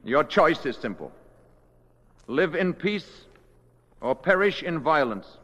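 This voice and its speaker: A grave, measured alien emissary. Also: male voice, on the high side, sing-song, a bit bright, exaggerated voice. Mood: solemn warning. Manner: measured, formal, solemn. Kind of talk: old radio drama acting. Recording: dull vintage radio recording, hall reverb.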